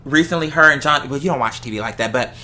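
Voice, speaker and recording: Bright, emphatic voice in casual opinionated talk. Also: medium pitch, quick pace, slight background noise. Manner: emphatic, repeats phrases for stress.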